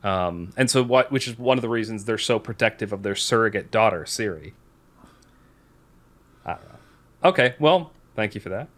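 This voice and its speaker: A man's voice: fairly low pitch, expressive, moderate pace, pauses often, fairly bright tone. A man hosting a podcast in casual chat, talking in a rambling, candid way and often interrupting his own sentences. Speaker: podcast host.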